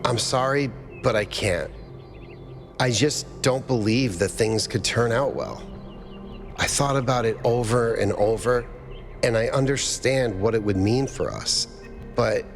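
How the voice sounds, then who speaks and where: A man acting a gentle, doubtful robot citizen in a video game, earnest and apologetic. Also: medium pitch, unhurried, faint music behind, close to an everyday voice. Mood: regretful.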